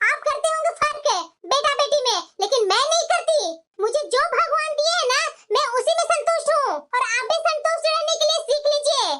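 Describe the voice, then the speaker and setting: A very high, bright voice gives us a woman acting as an outspoken cartoon wife. She lectures insistently and reproachfully, a devoted mother from a family moral story.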